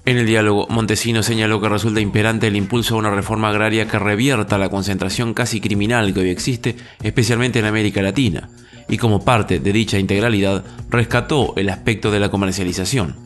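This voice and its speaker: A man reading a scripted news report in a measured, formal way. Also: lowish voice, fairly even intonation. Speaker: news announcer. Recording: narration with soft music behind.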